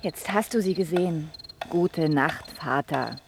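A woman's voice: sits low, a little slow, vocal fry, noisy background. A woman playing a brooding, prickly grown daughter who narrates her own story in a radio drama with dry, clipped delivery. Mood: dry, detached. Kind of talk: first-person radio drama narration and acting.

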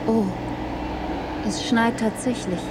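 A woman's voice: on the high side, fairly even intonation, slow pace, heavy background noise. A woman acting as a loving, protective mother in a German radio play. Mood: calm, wistful.

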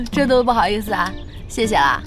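A woman's voice: on the high side, lively intonation, normal speed, music in the background, natural voice. An actress playing a spirited, chatty, blunt young woman who runs a shop. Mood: friendly, casual. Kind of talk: Chinese audio drama acting.

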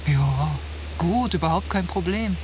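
A woman's voice: lowish voice, animated, measured pace. A woman acting an independent, outgoing teacher and traveller in a naturalistic radio drama, chatty and self-assured. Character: independent, outgoing female teacher, seasoned backpacker. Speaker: actor. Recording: hissy old cassette of radio play.

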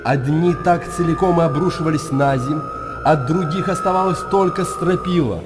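An actor playing a chronicler who solemnly recalls disasters of old, in declamatory radio drama narration. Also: male voice, on the high side, moderate pace, music in the background, lightly acted. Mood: grave, bleak. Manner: grave, declamatory storytelling. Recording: clear background ambience noise.

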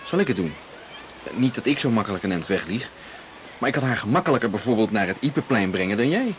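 A man's voice: fairly low pitch, sing-song, quick pace. A man playing an eager, reassuring boyfriend in a radio drama, with an easygoing, informal way of talking. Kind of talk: 1980s Dutch radio drama acting. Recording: noisy 1980s radio recording.

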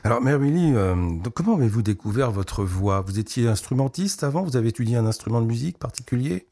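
A man's voice: medium pitch, animated, fast talker, mellow. A man hosting a radio interview, chatting with frequent fillers and murmured agreement. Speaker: radio host.

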